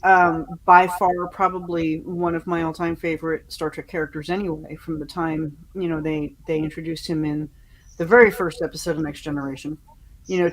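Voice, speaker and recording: A woman chatting casually as a podcast panelist, giving fan opinions in a halting, conversational way. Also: fairly low pitch, low-quality recording.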